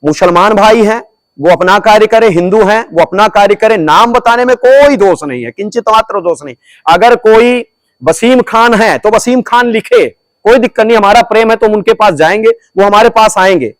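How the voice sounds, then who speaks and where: A man's voice: sits high, moderate pace, dry recording. A man, a religious teacher, answering interview questions in a declamatory, preaching manner and repeating phrases for emphasis. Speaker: religious guru being interviewed.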